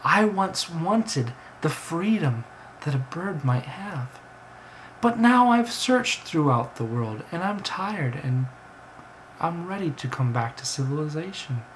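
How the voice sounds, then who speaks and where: A man's voice: on the high side, lively intonation, takes it slowly, a bit bright, voice pushed up. Guy doing a pushy talking bird from a storybook, raised voice, scolding n earnest, noisy recording. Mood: earnest, pleading. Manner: insistent, scolding, grandly earnest. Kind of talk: storybook character voice.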